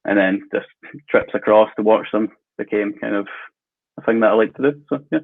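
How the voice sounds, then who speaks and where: A man's voice: on the low side, fairly quick, gravelly. A man with a Glasgow Scottish accent, a football fan guest chatting casually on a podcast, easygoing with filler words. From Scotland. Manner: easygoing, with frequent fillers and light laughs. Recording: tinny phone-like call audio.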